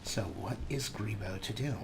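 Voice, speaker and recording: A man's voice: lowish voice, normal speed, noisy background. Wry, dramatic let's play narrator, man. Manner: dry, dramatic storyteller, refers to his character in third person. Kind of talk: wry narrated gameplay commentary.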